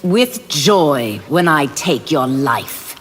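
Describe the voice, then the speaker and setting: A woman's voice: sits low, very animated, very slow, heavily acted. An actress playing a resolute, righteous woman warrior in a video game, speaking firmly and formally. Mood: serene defiance. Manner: firm, formal, dramatic. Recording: long reverb, loud music behind.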